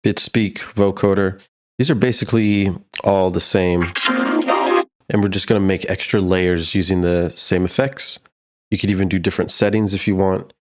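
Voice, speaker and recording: A man's voice: deep voice, fairly even intonation, normal speed. A man giving a casual, slangy music production tutorial in a laid-back but enthusiastic way.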